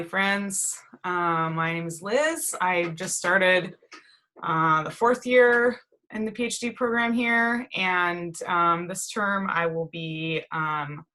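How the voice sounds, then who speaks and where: A woman's voice: fairly low pitch, bright, forceful tone. A woman doctoral student introducing herself in a friendly, casual way on a video call. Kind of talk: self-introduction.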